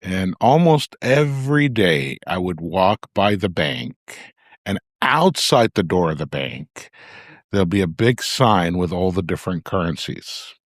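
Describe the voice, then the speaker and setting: A man from Austria hosting a podcast interview in a studio, asking questions in a measured, unhurried way. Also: sits low, very animated, no room sound. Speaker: podcast host. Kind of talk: casual interview.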